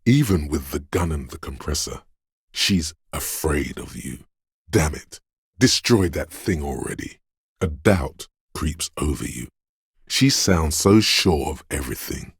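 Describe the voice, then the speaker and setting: A man voicing the menacing inner voice of a detective's adrenaline and fear in a video game, narrating in the second person with brooding, profane intensity and a husky, gravelly tone. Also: rumbling low, lively intonation, no room sound, clean studio sound, exaggerated voice. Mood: ominous, wary. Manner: brooding, deliberate, ominous, profane.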